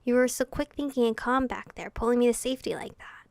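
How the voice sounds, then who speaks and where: Woman voicing a soft, anxious young male vampire. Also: a little high, fast, creaky, dry recording. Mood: relieved, tender.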